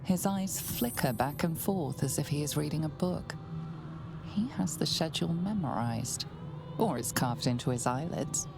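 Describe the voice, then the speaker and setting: A woman acting as a measured, knowing storyteller, delivering scripted narration for a video game. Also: sits low, gravelly, music in the background.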